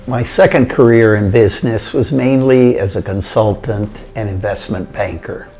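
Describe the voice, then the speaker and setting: An older man from Costa Rica, telling his life story in a measured, steady delivery. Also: fairly low pitch, slightly rough. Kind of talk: prepared autobiographical narration.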